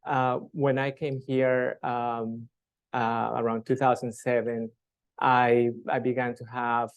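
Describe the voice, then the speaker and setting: Halting, gravelly-voiced Colombian man. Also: mid-range voice, a little mellow. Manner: halting, many fillers and restarts. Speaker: patient advocate. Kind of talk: live panel Q and A. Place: event room with audience.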